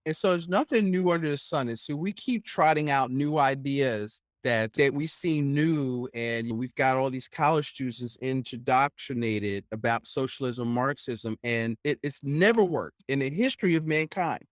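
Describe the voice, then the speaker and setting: A man's voice: mid-range voice, moderate pace, few pauses, no room sound. A man hosting a podcast interview, chatting casually in a rambling, opinionated way and often tagging his points with "right?". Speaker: podcast host.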